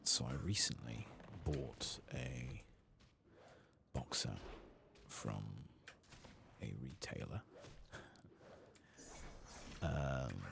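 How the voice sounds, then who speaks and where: A man giving soft-spoken ASMR commentary over a video game, with understated asides to himself, close to the microphone. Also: a bass voice, creaky, background noise.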